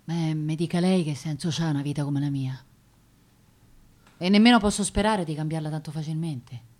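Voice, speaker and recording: A woman's voice: deep voice, moderate pace, darkish tone. An actress playing a proud, touchy young working-class woman with a Roman accent, talking colloquially and emotionally in a radio play. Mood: plaintive, earnest. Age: young adult. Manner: colloquial, repeating words, emotional. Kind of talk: theatrical radio drama monologue.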